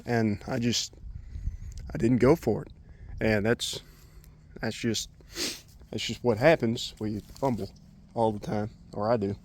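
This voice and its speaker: A man's voice: fairly low pitch, fairly even intonation, measured pace, long pauses, a little mellow. Casual, rambling man vlogging. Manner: rambling, folksy, colloquial. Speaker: vlogger, DIY truck project host. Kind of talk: casual unscripted chat to camera.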